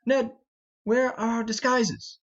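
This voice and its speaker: A man's voice: extremely high pitch, steady tone, slow pace, highs cut off, voice pushed up. A man voicing a mocking, cocky young prince with a taunting, commanding manner in an amateur Shakespeare reading.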